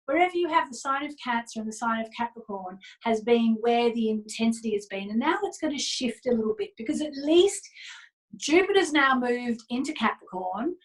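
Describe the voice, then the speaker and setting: A woman's voice: on the high side, low-quality recording. A woman speaking as an interview guest, explaining astrology in an earnest, informal and emphatic way.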